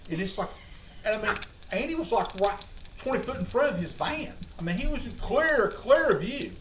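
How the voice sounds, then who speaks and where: A man's voice: sits high, very animated, moderate pace. A middle-aged man with a Southern US accent, telling comic anecdotes in a rambling, folksy, exaggerating way. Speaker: storyteller on a home cooking vlog. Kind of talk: comic anecdote, casual chat. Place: home kitchen with food sizzling. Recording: roomy, distant mic, noisy kitchen.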